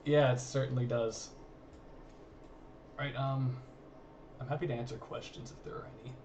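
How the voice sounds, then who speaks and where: A man's voice: medium pitch, fairly even intonation, normal speed, muffled recording. A man informally explaining research as a guest, in a modest, matter-of-fact way. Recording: distant mic, faint background noise.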